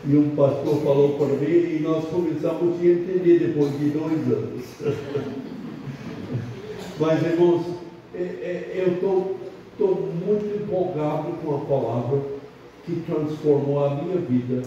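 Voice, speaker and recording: A man's voice: fairly high pitch, measured pace, dark tone, a little hiss or hum. An older man preaching a sermon in a church, a foreign-born pastor speaking a learned language, emphatic and repetitive. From abroad, not Brazil. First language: not Portuguese. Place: church hall. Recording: very echoey church hall, distant muffled mic.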